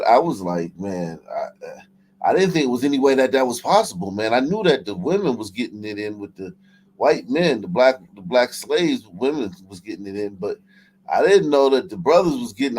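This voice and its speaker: A man's voice: mid-range voice, normal speed, rough recording. Man chatting casually over background noise. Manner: repeats and restarts phrases excitedly. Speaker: livestream host. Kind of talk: casual profane chat.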